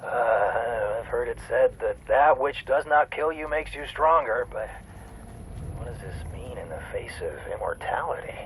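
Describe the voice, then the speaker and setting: An actor playing a contemplative scholar in a video game, musing in a deliberate, questioning way. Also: male voice, mid-range voice, lively intonation, a little hiss or hum, close to an everyday voice, poor audio. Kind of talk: scripted game dialogue, musing monologue.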